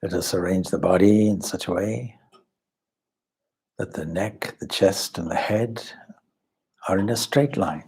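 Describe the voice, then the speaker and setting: Male meditation guide: very slow delivery, heavily breathy and husky, calm and instructive. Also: sits low. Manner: calm, measured, instructive with deliberate pauses. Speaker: swami leading a guided meditation.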